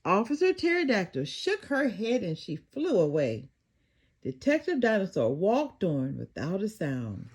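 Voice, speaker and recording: A woman reading a children's story aloud in an animated, deliberate and expressive narration. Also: medium pitch, breathy edge.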